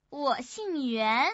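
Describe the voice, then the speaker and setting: A hysterical, possessed woman in a radio play. Her high voice is sharp and swings widely, with slow, drawn-out phrasing. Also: muffled recording, slight background noise, exaggerated voice. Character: deranged hysterical young married woman, seemingly possessed. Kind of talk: radio drama acting.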